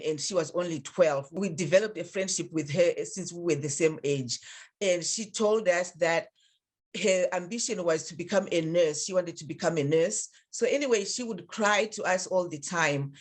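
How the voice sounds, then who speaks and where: A middle-aged woman with a Zimbabwean accent, a guest in an interview, telling a personal story in an earnest, reflective, unhurried way. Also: fairly low pitch. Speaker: interview guest, nonprofit founder.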